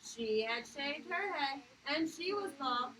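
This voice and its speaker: Bright, high-voiced woman teacher. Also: expressive, slow pace, slight background noise, poor audio. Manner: encouraging, emphatic, questioning. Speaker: elementary school teacher. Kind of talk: read-aloud reading lesson for children.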